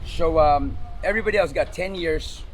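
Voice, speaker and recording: A man's voice: a little high, moderate pace, poor audio. A middle-aged man from the Philippines, talking loosely with lots of fillers as he is interviewed outdoors in a city. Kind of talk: casual street interview. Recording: outdoor street recording with traffic. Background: traffic noise.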